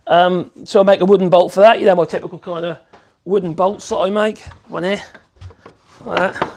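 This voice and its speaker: A man's voice: high-pitched, steady tone, normal speed, a little mellow. A man, hosting a casual woodworking livestream. He rambles in a chatty way and coos to his puppy in a sing-song voice. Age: 50s.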